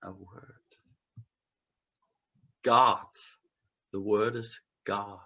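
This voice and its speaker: A man whose first language is Danish, hosting an improv session on a video call and asking questions in an unhurried way. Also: on the low side, steady tone, bright, forceful tone, highs cut off. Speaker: host. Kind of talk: casual chat on a video call.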